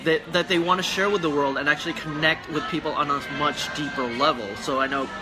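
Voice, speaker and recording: A man's voice: fairly high pitch, fairly even intonation, quick pace, rough recording. A young man with a Filipino accent, a guest in a casual livestream chat, talking earnestly and rambling with many restarts. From Philippines. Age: young adult. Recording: background music.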